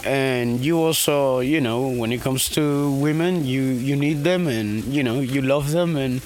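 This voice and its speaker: A middle-pitched man from Guatemala is exhausted at the finish of a two-hundred-mile race. He jokes candidly and fills his talk with "you know". He is outdoors at an aid station. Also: measured pace, a little mellow. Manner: candid, joking, with many fillers. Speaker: ultramarathon runner. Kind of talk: casual on-course interview.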